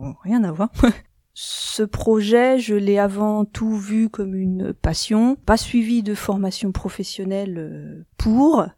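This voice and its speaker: A woman's voice: medium pitch, warm tone, dry recording, studio quality. A middle-aged woman from central Brittany, a guest describing her card game in casual chat, with frequent fillers and restarts. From central Brittany, France. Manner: hesitant, many fillers and restarts.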